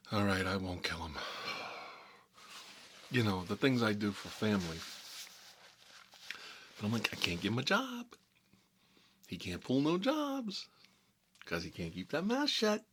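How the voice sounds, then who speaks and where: A man's voice: fairly low pitch, big pitch swings, unhurried, long pauses, fairly bright tone, a little hiss or hum. A man playing a talkative, hot-headed mob boss in an improvised ASMR comedy monologue, rambling and repeating himself in streetwise slang. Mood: aggrieved, boastful.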